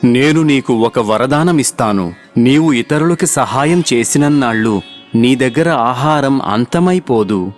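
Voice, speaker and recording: A man's voice: medium pitch, lively intonation, unhurried, close to an everyday voice. A man playing a solemn, benevolent god who grants boons in a children's cartoon story, with music under the voice. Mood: solemn, approving.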